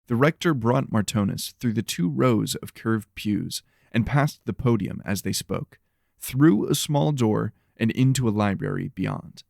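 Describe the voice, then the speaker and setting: Guy reading dark horror fantasy, measured and serious, bit of gravel in it, super dry close sound. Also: on the low side, lively intonation, no room sound. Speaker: narrator.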